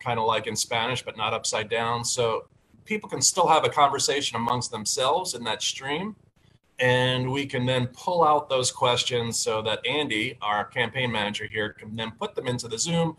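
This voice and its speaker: A man's voice: mid-range voice, fairly bright tone, poor audio. An upbeat, chatty man hosts a casual talk show over a webcam from a home study with bookshelves. He rambles with plenty of fillers and friendly asides. A faint background hiss sits under his mid-range voice. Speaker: host and candidate. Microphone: video call webcam.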